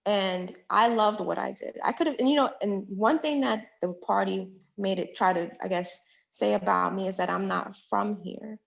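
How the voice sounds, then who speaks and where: Earnest New woman, gravelly edge. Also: mid-range voice, moderate pace. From Jamaica, Queens, New York. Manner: earnest, building points in repeated phrases. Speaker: judicial candidate and public defender. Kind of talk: radio interview answers. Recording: dull lo-fi radio sound.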